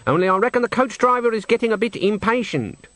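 A man's voice: sits high, animated, quick pace, a bit bright, no room sound, voice pushed up. A man voicing an earthy, cheerful old woman witch in a storybook reading, chatty and cheeky.

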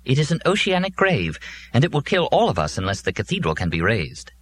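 A man's voice: mid-range voice, wildly swooping pitch, fairly quick, slight background noise, pitched above the performer's own voice. A man voicing a dour, scornful robot servant in a science fiction audiobook, making formal, ominous pronouncements. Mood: grave, foreboding.

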